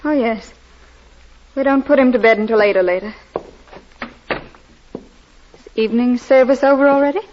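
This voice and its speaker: Earnest parson's daughter played by an actress, muffled recording. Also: female voice, fairly high pitch, normal speed, pauses often, lightly acted. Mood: earnest, hopeful. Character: devout, trusting parson's daughter, gentle and earnest. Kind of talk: 1940s radio drama acting. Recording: dull, noisy old radio recording.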